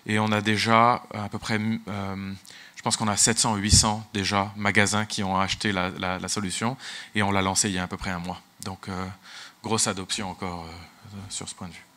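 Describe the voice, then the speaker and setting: A man's voice: on the low side, little pitch movement, normal speed, sharp tone. A man whose first language is English, speaking as a tech executive at a business conference, often restarting and repeating words. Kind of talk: conference talk.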